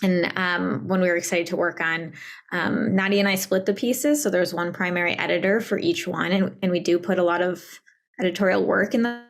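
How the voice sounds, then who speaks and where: A woman's voice: on the low side, steady tone, fairly quick, creaky. A woman speaking as an editor, explaining a process in an unscripted, conversational way. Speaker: prose editor.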